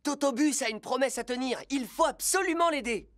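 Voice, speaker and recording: Woman as high-voiced heroic rescue car. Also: a little fast, no room sound, clean studio sound, natural voice. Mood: reassuring. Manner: reassuring, earnest. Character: helpful, reassuring rescue police car hero. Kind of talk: children's cartoon dub. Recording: music behind the voice.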